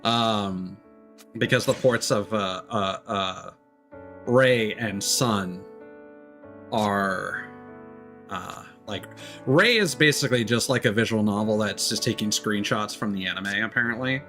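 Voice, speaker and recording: A man's voice: on the low side, sharp tone. Rambling male game streamer over background music. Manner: rambling, halting, thinking aloud. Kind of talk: casual live game commentary. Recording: music behind the voice.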